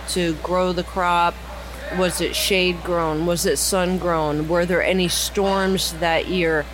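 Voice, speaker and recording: A woman talking casually and explanatorily as part of a podcast panel, recorded in a lounge. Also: fairly low pitch. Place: cigar lounge. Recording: clearly noisy lounge recording.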